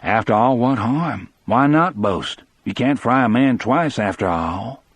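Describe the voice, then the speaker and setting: A man's voice: mid-range voice, animated, unhurried, breathy edge, dry recording. A man voicing a blunt, skeptical prison guard in an audiobook, reasoning matter-of-factly.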